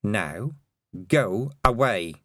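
A man voicing a calm young witch girl who speaks with quiet, steady menace. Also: lowish voice, very slow, no echo, studio quality, heavily acted.

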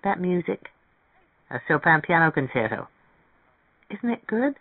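A man reading a story, voicing an eager, naive young woman who is excitable and full of curiosity. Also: sits high, a little slow, no room sound, narrow, tinny audio, exaggerated voice. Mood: curious. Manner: excitable, inquisitive.

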